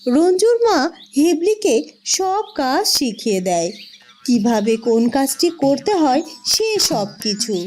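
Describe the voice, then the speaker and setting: A woman's voice: high voice, animated, measured pace, darkish tone. A woman reading scripted storybook narration for a cartoon tale.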